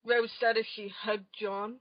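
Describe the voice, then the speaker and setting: A woman's voice: on the high side, little pitch movement, a little slow, no room sound. A woman narrating an amateur dramatic reading of comic fan fiction, on a muffled home recording.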